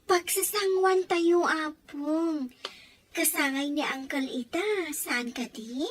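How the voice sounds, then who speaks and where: A woman's voice: high-pitched, takes it slowly. A woman playing a cheerful, affectionate young grandchild in an audio story drama. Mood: eager, sweet. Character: cheerful, grateful young grandchild celebrating an uncle's birthday. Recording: music under the voice.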